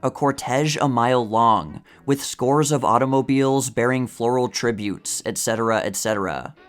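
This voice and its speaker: A man narrating a scripted, vintage news-style article in measured, deliberate phrasing. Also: medium pitch, faint music behind.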